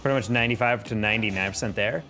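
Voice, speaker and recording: Low-voiced man streaming a game. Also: rapid-fire, pushed and bright, faint music behind. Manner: chatty, exasperated, laughs often. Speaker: streamer and caster. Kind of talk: casual live-stream chat while gaming.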